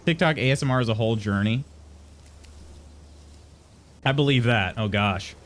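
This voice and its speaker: A young adult man streaming live, reading out chat messages and answering them in a halting, chatty way with frequent restarts. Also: medium pitch.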